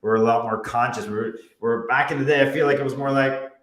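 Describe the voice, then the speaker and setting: Male host, real estate broker; ponders out loud and restarts, echoey room. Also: medium pitch, fairly even intonation, a little fast. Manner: thinking aloud, restarts often. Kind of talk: casual interview chat.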